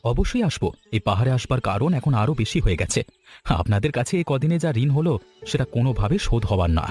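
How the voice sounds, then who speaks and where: A man voicing an upright, firm but grateful government project director in a cartoon story, speaking in a formal, measured way. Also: mid-range voice, big pitch swings, a little mellow, soft background music, lightly acted. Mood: grateful, friendly. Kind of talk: Bengali cartoon story voice acting.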